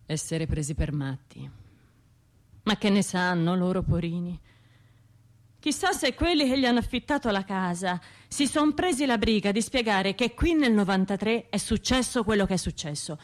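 An actress playing an anxious, self-doubting trauma survivor in a radio drama, talking to herself in broken, halting phrases with a Tuscan accent. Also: female voice, medium pitch, animated, lightly acted. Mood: troubled, defensive. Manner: halting, fragmented, self-interrupting inner monologue.

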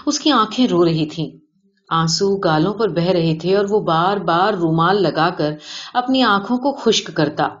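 A woman reading a literary short story aloud as scripted narration. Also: lowish voice. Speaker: audiobook reader of a short story. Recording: dull, lo-fi sound.

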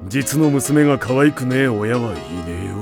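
A man playing a gruff, warm-hearted middle-aged father and god king in an anime audio drama, gravelly and blunt in a rough old-fashioned way. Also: mid-range voice, normal speed, close to an everyday voice. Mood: gruff, tender. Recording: music playing under the voice.

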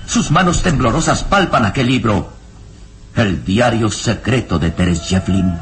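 A man narrating a radio melodrama in suspenseful, measured phrases with dramatic emphasis. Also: on the low side, lively intonation. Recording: radio drama with music underneath.

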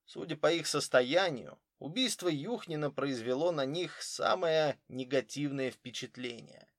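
A man's voice: a little high, unhurried, no room sound, clean studio sound. A man reading a detective novel aloud as scripted audiobook narration.

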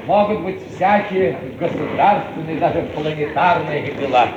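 Man acting an anxious, high-pitched theatre director. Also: moderate pace, highs cut off, lightly acted. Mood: ingratiating. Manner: apologetic, hesitant, eager to please. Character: anxious, obliging male theatre director placating important officials. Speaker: stage director character. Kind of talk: theatrical Soviet radio comedy acting. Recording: echoey, distant, noisy old radio recording.

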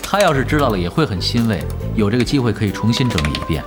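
Casting: cocky, easygoing young male gaming veteran, audio drama, brisk and varied delivery, music behind. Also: lowish voice, very animated. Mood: matter-of-fact. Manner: casual, teasing, self-assured.

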